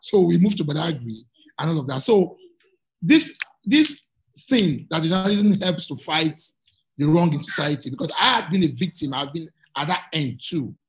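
A man's voice: a little high, animated, moderate pace, slight background noise, rough recording. A Nigerian man from Lagos, answering interview questions earnestly and often repeating words as he searches for them. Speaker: guest journalist.

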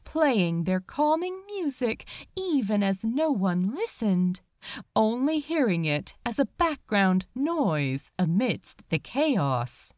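A woman reading a story aloud as a dramatic, expressive narrator, drawing out words for emphasis. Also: a little high, takes it slowly, dry recording, clean studio sound. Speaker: fan fiction reader.

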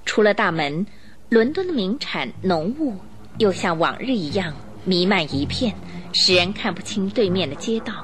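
Woman narrator with expressive, animated pitch. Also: normal speed. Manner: storytelling, expressive. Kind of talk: scripted radio drama narration.

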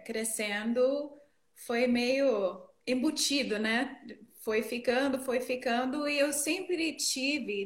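Slow, high-voiced American woman, echoey recording. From northeastern United States. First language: English. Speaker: interview guest, worship leader. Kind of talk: conversational personal storytelling in an interview.

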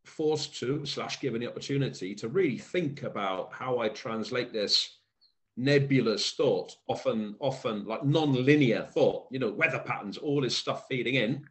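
A man from South Wales with a faint Welsh accent, a poet guest talking slowly and thoughtfully, often pausing and restarting to find the right word. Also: medium pitch. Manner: thoughtful, hesitant, searching for words, many restarts. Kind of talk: reflective conversational interview.

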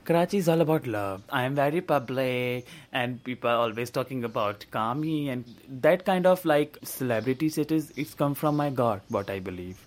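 A man in his twenties from Pakistan with a Pakistani accent, speaking about himself in an expressive, enthusiastic way. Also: mid-range voice, normal speed, hardly any pauses. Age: 20s. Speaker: interviewee.

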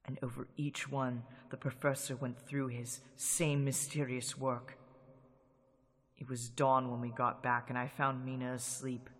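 An actor playing an anxious young man reading his journal entries in a hushed, tense voice in a radio drama, with long reverb and music. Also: mid-range voice, level tone, a little slow. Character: young male clerk writing a journal, anxious.

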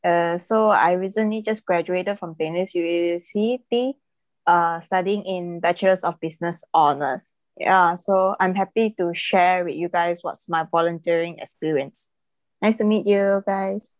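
A woman's voice: lowish voice, measured pace, no echo. A woman, a recent graduate chatting casually with fillers. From Malaysia. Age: 20s. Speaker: volunteer guest, recent graduate. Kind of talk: casual podcast discussion.

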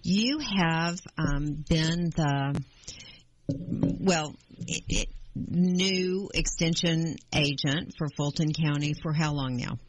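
A woman hosting a gardening radio show, asking her guest questions in a friendly, halting way with many fillers. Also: deep voice, a little hiss or hum, low-quality recording. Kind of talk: radio interview.

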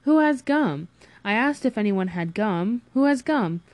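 A woman voicing a loud, irritable hungover man who swears and shouts in exasperation in audiobook dialogue. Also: on the high side, lively intonation, measured pace, dry recording. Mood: indignant.

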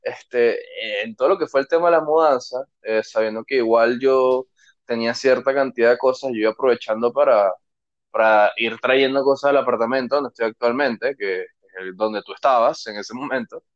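A man's voice: mid-range voice, moderate pace, dry recording. Venezuelan man, dry and slightly dull audio. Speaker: podcast co-host. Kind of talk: casual conversational storytelling.

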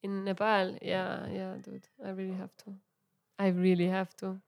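A young woman whose first language is Italian talks loosely about her music, her voice carrying a light gravelly fry. She fills her sentences with little fillers and soft laughs at a mid pitch. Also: monotone, moderate pace. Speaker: musician guest. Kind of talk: casual interview chat.

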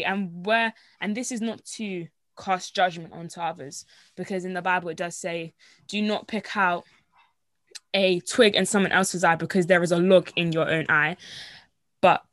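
A woman's voice: lowish voice, a bit bright, dry recording. A woman hosting a casual faith discussion, reading Bible verses aloud and rambling with frequent fillers. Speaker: host.

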